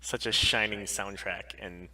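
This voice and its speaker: A man's voice: on the low side, steady tone, a little slow, pushed and bright, rough recording. A man co-hosting a stream, chatting in casual banter. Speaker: podcast and stream co-host.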